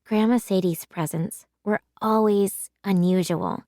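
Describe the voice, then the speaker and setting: Expressive woman reading a children's story, dry sound. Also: mid-range voice, unhurried, no echo. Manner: animated, emphatic, clearly paced for children. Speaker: read-aloud storyteller. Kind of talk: expressive children's audiobook narration.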